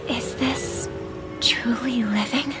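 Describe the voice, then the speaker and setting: An actress playing a gentle, earnest young woman in a video game, speaking softly and thoughtfully. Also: a little high, steady tone, takes it slowly, whispered. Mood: hushed doubt. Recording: loud game music behind the voice.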